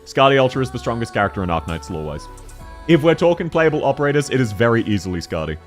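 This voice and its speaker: A young man with a deep voice streaming a game and chatting with viewers, excitable and slangy, laughing often and quick to correct himself. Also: faint music behind. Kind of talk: casual live-stream chat, answering viewer questions.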